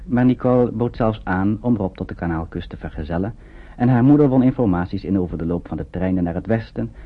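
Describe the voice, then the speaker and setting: A man narrates a scripted radio drama in a measured, storytelling way. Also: sits low. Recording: muffled narrow-band old radio recording.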